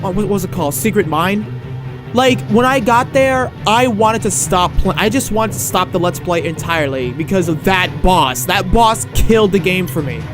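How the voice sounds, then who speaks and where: A man hosting a casual game review podcast, rambling and tripping over his words, slightly hoarse from losing his voice. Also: high-pitched, animated, moderate pace, music in the background. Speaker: podcast host.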